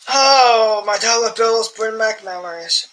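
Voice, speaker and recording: High-voiced young man, bright chatty tone. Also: rough recording. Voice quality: higher-pitched voice for a man. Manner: chatty, self-amused, often clears throat. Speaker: coin collecting channel host. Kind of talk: casual rambling vlog chat while sorting coins.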